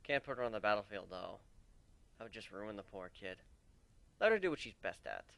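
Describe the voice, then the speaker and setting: A man's voice: fairly low pitch, very animated, fairly quick, gravelly, heavy background noise. A man narrating a first-person story as a dramatic reading.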